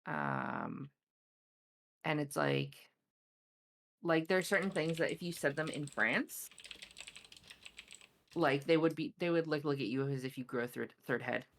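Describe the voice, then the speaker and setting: A woman's voice: sits low, lively intonation, unhurried, vocal fry, long pauses. A Canadian-born woman whose first language is Polish, chatting as a stream guest over a voice call, hesitant with many restarts. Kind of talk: casual chat.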